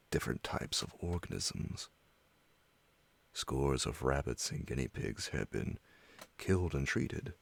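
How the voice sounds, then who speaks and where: Very deep gravelly man reading horror. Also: fairly bright tone. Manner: deliberate, broken into short measured phrases. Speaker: storyteller reading a horror tale. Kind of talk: slow ASMR bedtime narration of gothic horror.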